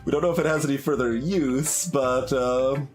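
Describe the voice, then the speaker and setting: A man's voice: mid-range voice, normal speed. Male game streamer, mid-range voice, casual and hesitant, thinking aloud over background music. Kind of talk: casual live playthrough commentary. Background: game music, guitar.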